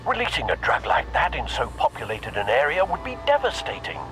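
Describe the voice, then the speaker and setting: An actor playing a calm, matter-of-fact police ally in a video game. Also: male voice, on the high side, fairly quick, over music. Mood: concerned.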